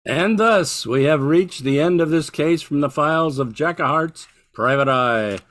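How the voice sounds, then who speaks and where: An older man serves as narrator of a vintage-style radio play. His voice sits a little high for a man, and he reads in a scripted announcer style. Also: a little slow, a bit bright.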